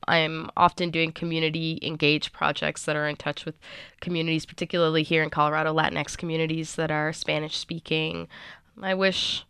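Smooth, low-voiced woman, native Portuguese speaker. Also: fairly bright tone, no room sound, clean studio sound. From Miami, United States. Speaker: professor, interview guest. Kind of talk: conversational interview answers.

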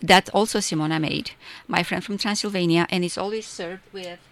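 A woman's voice: on the low side, a little fast, a bit bright, a little hiss or hum. A woman from Romania with a Romanian accent, a chatty, enthusiastic guest in a radio studio interview who laughs often. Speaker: festival organizer guest.

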